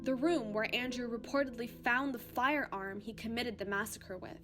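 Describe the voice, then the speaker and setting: An actress as a bossy, foul-mouthed woman ghost hunter from a radio play. Her voice is high and theatrical, made for presenting the paranormal and quick to turn snappish. Loud music plays behind her. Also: lightly acted. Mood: dramatic, ominous. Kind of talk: radio drama acting as paranormal show presenter.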